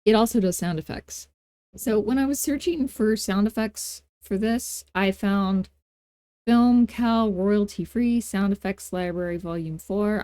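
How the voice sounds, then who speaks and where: A woman records a casual screen tutorial as its host. Her voice sits at a middling pitch, captured very dry with little room sound. She talks informally, with a playful, self-deprecating manner. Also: dark tone, no echo.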